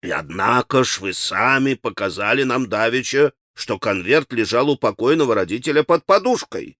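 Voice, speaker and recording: A man voicing a stern, methodical prosecutor in an audiobook, questioning a suspect in a formal, measured, insistent manner. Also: mid-range voice, lively intonation, fairly bright tone, dry recording, studio quality, lightly acted. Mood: pressing, cool. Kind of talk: dramatic audiobook character acting.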